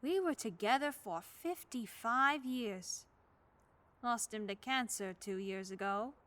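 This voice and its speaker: A woman playing an elderly widowed neighbor, radio play, gentle wry manner, high bright voice. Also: slow. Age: 70s. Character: kindly widowed old woman neighbor, churchgoing.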